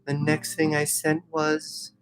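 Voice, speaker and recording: A man's voice: fairly high pitch, completely flat delivery, slow, faint music behind. A young man performing comedy songs and patter in a theatrical, self-mocking way. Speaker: musical comedian. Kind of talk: comedy songs and stage patter for an online show.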